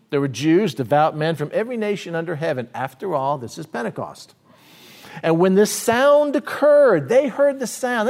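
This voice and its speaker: A man preaching a teaching sermon, speaking with animated swings between fast bursts and slow, emphatic words. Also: sits high. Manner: animated preaching with sudden swings in pace and loudness. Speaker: pastor.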